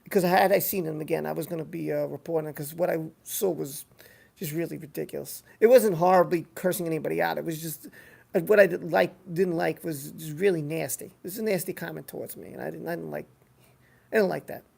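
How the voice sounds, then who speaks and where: Very gravelly live stream host, unscripted chat. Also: mid-range voice, a little fast, darkish tone. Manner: rambling, repeats phrases for emphasis. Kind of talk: casual live stream chat, reading out viewer comments.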